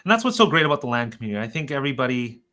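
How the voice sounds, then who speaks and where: A man hosting an informal software update webinar, talking in an earnest, conversational and loosely rambling way. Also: medium pitch, very animated, very fast. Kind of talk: informal product presentation.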